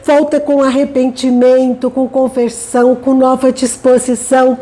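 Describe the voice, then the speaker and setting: A woman's voice: sits high, level tone, moderate pace, mellow, poor audio. An older Brazilian woman sharing a church devotional in a conversational way, often adding tag questions. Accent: Brazilian Portuguese. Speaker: church teacher. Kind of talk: devotional talk.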